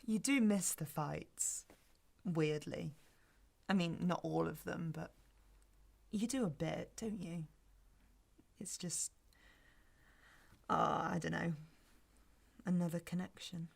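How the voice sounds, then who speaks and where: A woman's voice: lowish voice, slow pace, creaky, pauses often, heavily acted. A woman with a British accent acting a wary, bereaved first date in an audio drama, dry, sarcastic and probing. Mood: softening. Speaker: actor in a sci-fi audio drama. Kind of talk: naturalistic audio drama dialogue.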